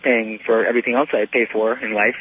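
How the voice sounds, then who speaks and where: A man in his thirties calling a radio show, telling a personal story in a halting, repetitive way full of "you know". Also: medium pitch, fairly even intonation, breathy edge. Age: 30s. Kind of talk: unscripted personal account on a call-in show. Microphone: telephone. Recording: muffled lo-fi phone call to radio.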